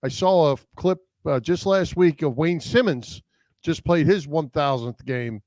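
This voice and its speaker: A man's voice: on the high side, unhurried, a little mellow, dry recording. Man co-hosting a sports show, very dry sound. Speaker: sports podcast co-host. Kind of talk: casual sports chat.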